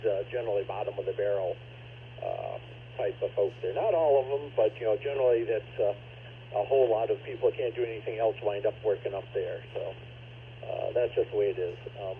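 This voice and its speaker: A man checking in on a ham radio net, chatting casually in a rambling way full of fillers. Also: lowish voice. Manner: rambling, filler-heavy, keeps tagging "there". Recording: tinny radio transmission with faint static.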